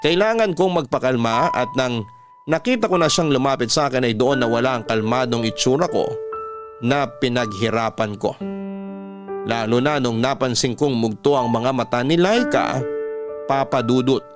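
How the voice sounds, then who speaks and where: A man's voice: mid-range voice, normal speed, music in the background. A man with a Filipino accent narrating a scripted first-person story as a radio drama host.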